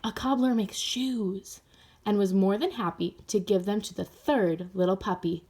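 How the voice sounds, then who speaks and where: A woman's voice: mid-range voice, fairly even intonation, unhurried, a little mellow, slightly rough. A woman reading a storybook aloud for children, expressively, speaking directly to young listeners. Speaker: storybook reader. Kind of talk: animated children's read-aloud.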